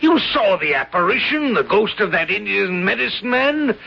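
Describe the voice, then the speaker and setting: An actor playing an insistent, superstitious colonel in a radio drama, speaking with solemn, pressing emphasis. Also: male voice, sits high, sing-song, normal speed, vocal fry, heavily acted. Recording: dull lo-fi radio recording.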